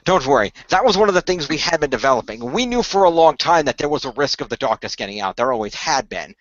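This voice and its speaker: A man playing an earnest male leader who recruits heroes in a radio play, explaining things in a halting, deliberate way. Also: fairly high pitch, very animated, fairly bright tone, dry recording, dull audio. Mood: earnest, assured. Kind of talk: improvised radio play dialogue.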